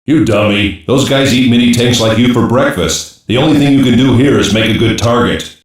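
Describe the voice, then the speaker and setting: A man playing a gruff, wisecracking special-operations cyborg soldier in an anime dub, blunt and sardonic with slangy delivery. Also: medium pitch, normal speed, heavy reverb, recorded from a distance, close to an everyday voice. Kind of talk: English-dub anime voice lines.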